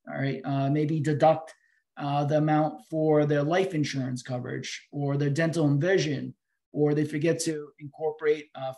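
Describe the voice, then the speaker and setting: Male webinar expert, middle-aged, slightly higher voice, explanatory and halting, on a video call. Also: a little mellow. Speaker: financial advisor guest answering questions. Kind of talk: webinar question-and-answer.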